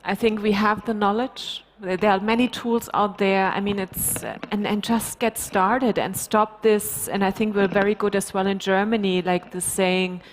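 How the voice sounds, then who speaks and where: A woman's voice: medium pitch, fairly even intonation, normal speed, few pauses, a little hiss or hum. A middle-aged woman on a conference panel, earnestly urging people to act. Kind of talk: panel discussion. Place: large conference stage.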